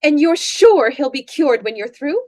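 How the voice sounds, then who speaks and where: Naggy penny pinching radio comedy wife, high voice, always complaining. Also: female voice, animated, moderate pace, a little mellow. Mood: concerned, penny-pinching. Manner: complaining, needling. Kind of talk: old-time radio comedy acting.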